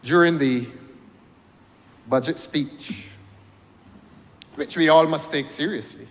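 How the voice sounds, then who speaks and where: A man's voice: fairly high pitch, slow, long reverb tail. A Barbadian man giving a parliamentary debate speech, rhetorical, repeating key phrases for emphasis. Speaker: member of parliament. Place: parliament chamber.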